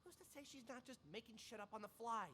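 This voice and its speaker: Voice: mid-range voice, quick pace, pushed and bright, a little hiss or hum, lightly acted. An actor playing a crude, skeptical mental patient and nervous henchman, stammering and blustering in a radio drama style. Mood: skeptical, scornful. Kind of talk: interactive radio drama acting.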